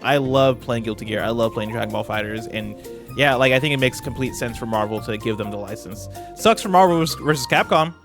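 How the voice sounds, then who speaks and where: A man's voice: medium pitch, brisk, fairly bright tone. A man streaming casual live reaction commentary, talking in a slangy, profane way. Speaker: streamer and host. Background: game showcase audio and music.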